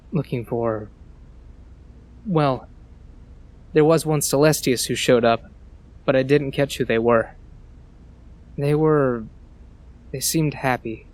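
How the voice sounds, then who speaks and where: A man voices a nervous, humble villager in a fantasy audio drama. His mid-range voice is plain-spoken and halting, as if unsure how much to say. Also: mid-range voice, a little mellow, lightly acted. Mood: hesitant, uneasy. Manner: hesitant, halting. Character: uneasy, plain-spoken male villager scavenging in ruins.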